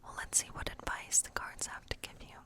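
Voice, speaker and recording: Female ASMR role player, fully whispered, gentle fortune-telling witch, unhurried and thoughtful. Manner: unhurried, musing, thinking aloud. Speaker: ASMR role-play performer as a tarot-reading witch. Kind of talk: whispered ASMR tarot reading role play.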